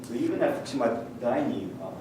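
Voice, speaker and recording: A man's voice: mid-range voice, animated. Japanese-accented man, echoey distant hall. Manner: measured, technical, many "uh" pauses, dry humor. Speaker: conference speaker. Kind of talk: presentation talk with slides. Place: large conference hall. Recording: echoey hall picked up from far off with noise.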